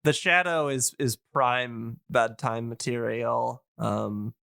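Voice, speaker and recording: A man with a bright, forward voice talks casually as a podcast guest. He rambles and hedges his way along, and the sound is very dry and free of room echo. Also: mid-range voice, steady tone, slow, gravelly. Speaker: podcast guest and radio drama hobbyist.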